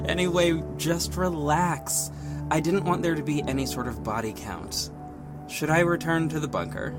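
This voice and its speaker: A man's voice: on the high side, a little slow, close to an everyday voice. An actor playing a young, cocky but caring male vigilante superhero in a scripted audio drama. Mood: teasing, relaxed. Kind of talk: scripted first-person narration and acted dialogue. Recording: music behind the voice. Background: music.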